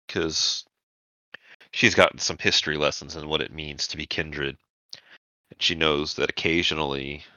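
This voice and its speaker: A man narrating a tabletop roleplaying session as game master, in a halting, informal storytelling manner. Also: very deep voice, vocal fry, pushed and bright, no room sound, muffled recording.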